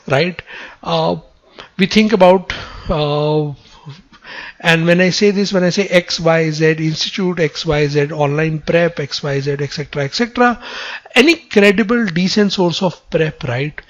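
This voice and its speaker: Indian male mentor, high voice, emphatic conversational teaching with frequent tag questions, slightly muffled lo-fi recording. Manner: emphatic, repetitive phrasing with frequent "right?" tags. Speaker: exam prep mentor. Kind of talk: conversational instructional advice.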